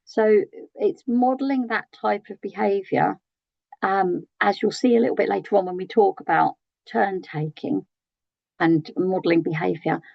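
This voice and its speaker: Woman, British accent, croaky, gravelly, trainer, chatty with tag questions, dull recording. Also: medium pitch, moderate pace, no room sound. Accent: British English. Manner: conversational, asks tag questions, frequent ums. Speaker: early years trainer. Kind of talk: training webinar talk.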